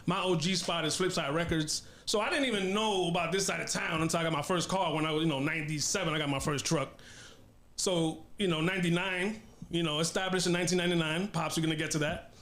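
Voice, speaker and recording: A man in his forties from the Bronx with a New York accent, hosting a casual interview in an excited, restless way. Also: a little high, fast talker. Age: 40s. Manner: animated, excitable, frequent restarts. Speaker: host.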